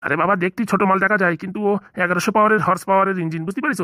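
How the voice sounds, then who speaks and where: A woman's voice: medium pitch, steady tone, rapid-fire, a bit bright, no room sound, studio quality, close to an everyday voice. A woman playing a breezy male hospital doctor in a comedy cartoon, casual, jocular and reassuring.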